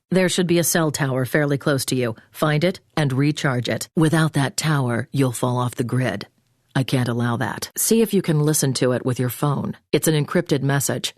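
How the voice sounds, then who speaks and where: A woman's voice: low voice, dry recording. Female intelligence handler, video game, commanding, directive, businesslike, very dry studio sound. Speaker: mission handler. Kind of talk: scripted video game voice acting.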